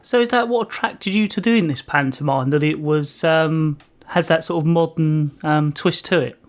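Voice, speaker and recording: A man's voice: on the high side, moderate pace. A man hosting a casual community radio interview, asking questions in a polite, tentative way.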